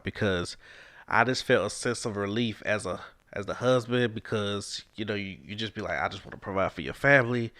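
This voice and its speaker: A man's voice: fairly low pitch, a bit bright, no echo, studio quality. A man, hosting a casual podcast with a stuffed-up, congested nose, earnest and encouraging and sliding into preacher-like exhortation. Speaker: podcast host.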